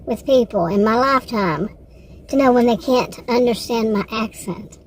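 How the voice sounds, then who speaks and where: A woman with a Texan accent and a fairly high voice talks off the cuff in a casual personal vlog. She rambles and halts, circling back to correct herself. There is clear background noise on the recording. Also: moderate pace, low-quality recording. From Texas, United States. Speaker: vlogger.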